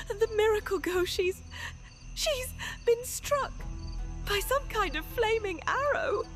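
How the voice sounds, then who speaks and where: A woman playing a distraught, fearful court lady in a horror audio drama, halting and breathless. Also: extremely high pitch, fairly bright tone, exaggerated voice. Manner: breathless, halting with gasps and exclamations.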